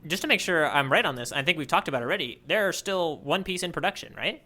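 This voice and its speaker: A man with a bright, slightly high voice talks casually as a podcast co-host. His pitch swings around in an animated way, and his delivery is playful and joking. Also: fast. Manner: playful, slips into exaggerated mock voices. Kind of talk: casual comedic chat about manga.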